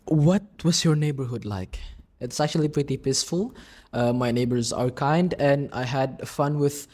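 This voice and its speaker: A man's voice: medium pitch, moderate pace, a little mellow. A young man from Indonesia co-hosting a casual podcast chat, friendly, often repeating words and talking to listeners as guys. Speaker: podcast co-host.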